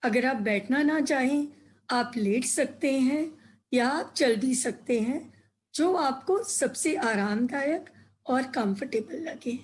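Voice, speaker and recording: Female meditation guide, slightly high register, very slow delivery, gentle phrase-by-phrase instruction.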